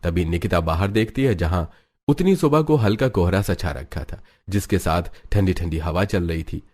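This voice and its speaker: A man's voice: low-pitched, expressive, normal speed, darkish tone. A man giving a scripted narration for a cartoon family story.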